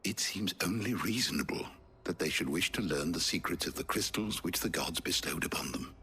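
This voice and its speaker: Deep-voiced learned old scholar. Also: male voice, sharp tone. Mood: pondering. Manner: erudite, deliberate, formal phrasing. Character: learned old male scholar, measured and fond of lore. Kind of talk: dramatic video game acting, expository.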